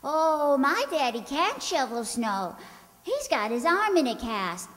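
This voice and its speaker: A woman's voice: sits high, big pitch swings, takes it slowly, fairly bright tone, heavily acted. A woman playing a chatty, persistent little girl in an old-time radio comedy, rambling on in earnest, childlike fashion. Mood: innocent, rambling. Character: chatty, persistent little neighbour girl, fond of tall tales. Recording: echoey hall stage recording.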